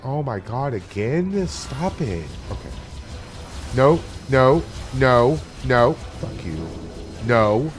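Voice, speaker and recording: A man's voice: mid-range voice, sing-song, takes it slowly. Foul-mouthed rambling male streamer. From Miami, USA. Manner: profane, rambling, chatty. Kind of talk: casual live-stream chat while gaming. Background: game music.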